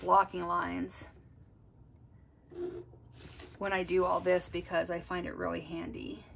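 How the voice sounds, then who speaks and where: A woman's voice: fairly low pitch, little pitch movement, normal speed, creaky, a little hiss or hum. A woman art teacher giving a step-by-step drawing lesson, explaining as she works and thinking aloud. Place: desk, overhead camera on drawing.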